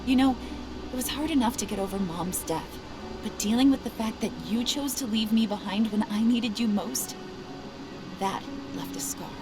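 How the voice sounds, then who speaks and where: A woman's voice: fairly high pitch, moderate pace, over music, lightly acted. A young woman playing a wounded, defiant activist and estranged daughter in a video game cutscene, firm and intense. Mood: bitter, hurt. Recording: loud ambient noise behind voice.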